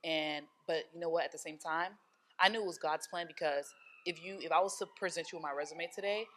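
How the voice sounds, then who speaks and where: Low-voiced woman, rambling interview talk. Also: a little fast, fairly bright tone. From Haiti. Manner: rambling, many fillers and restarts. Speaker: hair studio owner, guest.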